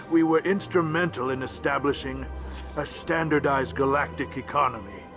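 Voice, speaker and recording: A man's voice: mid-range voice, natural voice. A man voicing a small, grumpy, aggrieved alien diplomat in a video game, curt and world-weary. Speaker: ambassador. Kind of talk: video game character dialogue.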